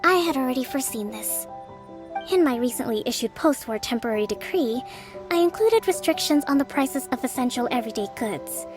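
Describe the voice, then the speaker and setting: A calm, composed young strategist. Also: female voice, high-pitched, over music. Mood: reassuring. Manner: measured, composed, reasoning. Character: calm, thoughtful young female strategist leader. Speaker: game character. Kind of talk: video game voice acting.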